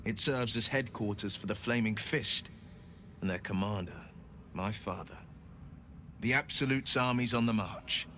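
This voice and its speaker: An earnest young hero, lowish voice. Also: male voice, a little slow, sharp tone, slight background noise. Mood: reflective. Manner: earnest, rousing. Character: noble young male hero, earnest and resolute. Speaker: game companion. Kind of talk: video game dialogue.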